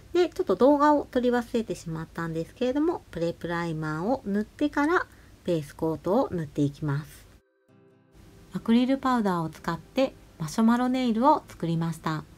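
A woman nail artist narrating a tutorial voice-over, explaining each step politely and giving gentle advice. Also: fairly low pitch. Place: voice-over over close-up salon footage. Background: music.

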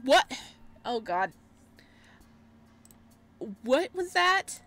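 Girl streamer doing casual game commentary, kinda high voice, expressive and exclaims a lot. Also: drawn-out words. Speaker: gaming streamer.

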